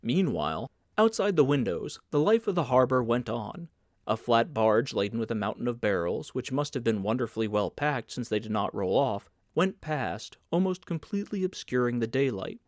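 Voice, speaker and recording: A man reading literary fiction aloud as a scripted, measured audiobook narration. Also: mid-range voice, big pitch swings, no room sound, clean studio sound. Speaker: audiobook reader.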